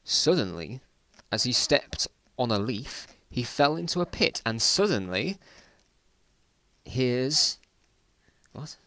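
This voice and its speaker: A man's voice: mid-range voice, very animated, slow, sharp tone, no room sound. A man reading his own old stories aloud in a casual dramatic style, with self-mocking, bemused asides.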